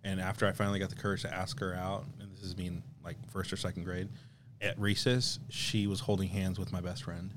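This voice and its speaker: A man, a guest coworker in a casual chat, soft-spoken as he tells stories. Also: low-pitched, flat delivery, brisk, background noise.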